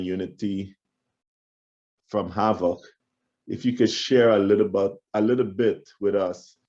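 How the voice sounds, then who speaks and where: A man from Trinidad hosts an interview in a very deep voice. He talks in a relaxed, affirming way and often repeats words as he gathers his thoughts. The recording sounds dull and lo-fi. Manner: warm, affirming, repeats words while thinking. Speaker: podcast host. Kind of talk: conversational interview. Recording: dull lo-fi audio.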